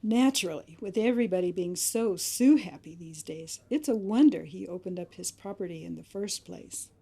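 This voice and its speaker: Woman acting a wry lady friend, husky and soft toned, skeptical, audio drama. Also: lowish voice, animated, normal speed, exaggerated voice. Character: wry, cautious female companion of a reporter.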